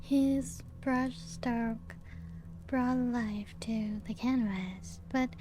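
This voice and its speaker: Woman as teasing female vampire castle guide, ASMR roleplay. Very slow, hushed delivery, higher-pitched. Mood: wistful, playful. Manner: slow, hushed, often breaking into whispers and soft chuckles. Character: playful, teasing female vampire showing guests her ancient castle. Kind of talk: soft-spoken ASMR roleplay. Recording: music playing behind the voice. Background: background music.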